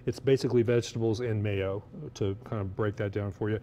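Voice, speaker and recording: A man's voice: fairly low pitch, fairly even intonation, brisk, dark tone, slight background noise. A man speaking as a guest cook, casually explaining dishes with some hesitant phrasing during a TV cooking segment. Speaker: guest cookbook author and cook. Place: TV kitchen segment.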